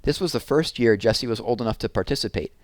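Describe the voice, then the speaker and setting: A man narrating a children's book aloud with expressive storytelling. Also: medium pitch, fast talker, dry recording, studio quality.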